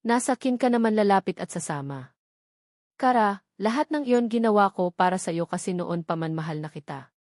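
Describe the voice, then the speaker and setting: A woman's voice: medium pitch, expressive, moderate pace, darkish tone, no echo, clean studio sound, close to an everyday voice. A Filipino woman voicing a desperate, heartbroken rich man in love, pleading intensely in a romance audiobook. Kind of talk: dramatic audiobook character dialogue in Taglish.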